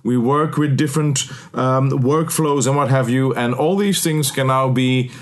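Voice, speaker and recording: A man hosting a podcast interview, relaxed and full of filler words. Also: mid-range voice, unhurried. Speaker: podcast host. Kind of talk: casual interview.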